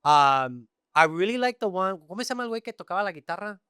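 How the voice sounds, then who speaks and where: A middle-aged man from Tijuana and East Los Angeles tells stories in a bright, crisp, fairly high voice. He talks casually and with energy, full of "you know" and "like". The recording is close and dry. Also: quick pace, no room sound. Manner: animated storytelling with many fillers. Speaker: guest. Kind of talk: casual podcast conversation.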